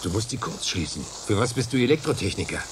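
A man's voice: on the low side, sing-song, fast talker, noisy background. A man acting in a radio play as a dry, resigned colonist, speaking in a matter-of-fact way. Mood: conspiratorial. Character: dry, resigned male colonist plotting contact outside.

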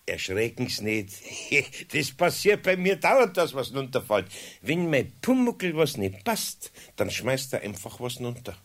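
A stammering old Bavarian carpenter. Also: male voice, mid-range voice, sing-song, unhurried, hardly any pauses, a bit bright, exaggerated voice. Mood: amused. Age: older. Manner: hesitant, stammering with fillers. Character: elderly male master carpenter, kindly and evasive. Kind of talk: 1970s radio play acting.

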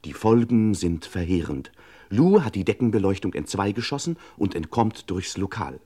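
An actor playing a pompous, tongue-in-cheek narrator of a radio crime serial, with ironic, florid and measured delivery. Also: male voice, lowish voice, very animated, dark tone, a little hiss or hum. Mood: ironic gravity. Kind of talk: mock-suspense scripted narration of old crime radio serial.

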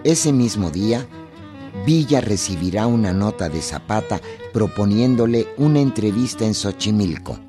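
A man's voice: on the low side, expressive, moderate pace, music in the background. A man narrating history for a radio drama in a scripted storytelling style.

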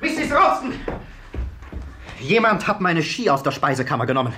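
Sharp, forceful police sergeant. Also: male voice, a little high, huge pitch swings, normal speed, soft background music, heavily acted. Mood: stern, urgent. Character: brisk, commanding police sergeant, stern and officious. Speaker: radio drama actor. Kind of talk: 1950s German-language radio play acting. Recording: 1950s radio drama recording.

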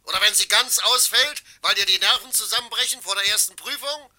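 Piercing, high-voiced indignant foreman. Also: fairly quick. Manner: blunt, protesting, sharp. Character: Rupprecht, indignant, combative works foreman. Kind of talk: German radio play acting.

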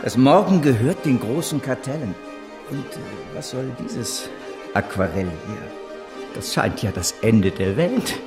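A man's voice: mid-range voice, animated, a little slow, music in the background. An actor playing a driven male financier and speculator, declaiming grand visions in a radio drama. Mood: brisk, musing. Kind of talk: German radio drama acting.

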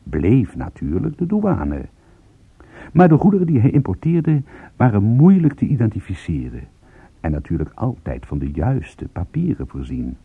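A wry private detective in a radio play, with a very husky voice that moves freely up and down. He tells his case in a measured, dryly amused way. The recording sounds narrow and phone-like, like old radio. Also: lowish voice, huge pitch swings, dry recording, heavily acted. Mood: dry, matter-of-fact. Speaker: narrator and lead actor. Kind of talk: radio drama narration.

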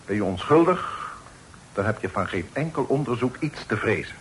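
A man's voice: lowish voice, expressive, moderate pace, lightly acted. An actor playing a stern, commanding father in a radio play, formal and firm. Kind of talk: old Dutch radio play acting. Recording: old radio recording with faint noise.

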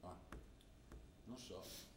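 An actor playing an older, fussy father from the provinces who speaks with a Trentino dialect, in a stage play. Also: extremely slow. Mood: grumbling. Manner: blunt, grumbling, dialect-heavy talk. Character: older provincial father visiting his daughter, gruff and fussy. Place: theatre stage. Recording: echoey stage sound from a distance with faint noise.

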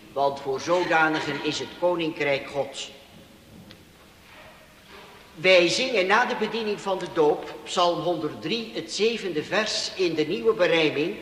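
An actor playing a solemn Protestant minister conducting a baptism in a formal, measured, declamatory way in a 1960s radio drama. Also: male voice, on the high side, a bit bright. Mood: solemn, proclaiming. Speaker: pastor. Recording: echoey church hall, noisy old radio recording.

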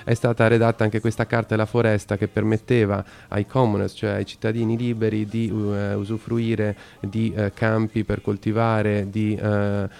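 A man hosting a radio talk, speaking hesitantly with many pauses and filler sounds. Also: on the low side, little pitch movement, vocal fry, a little mellow. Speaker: radio host.

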